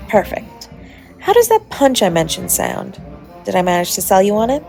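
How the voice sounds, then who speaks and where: A woman's voice: mid-range voice, wildly swooping pitch, moderate pace, gravelly, warm tone. A woman playing a charming, flirtatious stranger at a party, chatty, coy and teasing, in an intimate romantic audio roleplay. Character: charming, playfully flirtatious adult party guest, smooth-talking stranger. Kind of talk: romantic audio roleplay, intimate one-sided dialogue to the listener. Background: music behind the voice.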